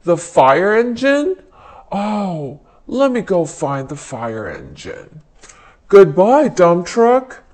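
A woman's voice: lowish voice, big pitch swings, slow, a little mellow, pitched below the performer's own voice, heavily acted. A woman voicing a helpful police car in a children's storybook reading, earnest and eager to help. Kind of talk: storybook read-aloud. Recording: noticeable background noise.

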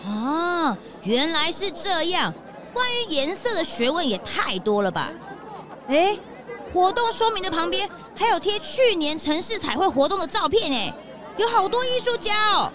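A woman voicing an excitable, naive and curious young cartoon sidekick in a children's detective radio drama, with wide-eyed, exclamatory reactions. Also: very high-pitched, moderate pace, a crowd in the background. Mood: delighted.

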